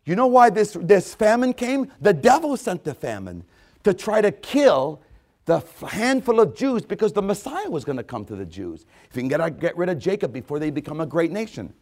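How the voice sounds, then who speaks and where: A man with a notably high voice delivers a sermon, reading scripture and then driving its meaning home. He leans hard on repeated phrases. He jumps from ringing, near-shouted exclamations down to soft, intimate asides. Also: sing-song, moderate pace. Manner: emphatic, repeats phrases for effect; swings between loud exclamations and hushed asides. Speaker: preacher.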